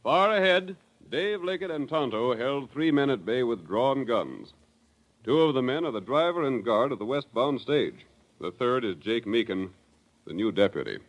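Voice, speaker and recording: A man's voice: mid-range voice, animated, moderate pace. A man narrating a 1930s radio western serial in dramatic old broadcast style. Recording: noisy 1938 radio recording.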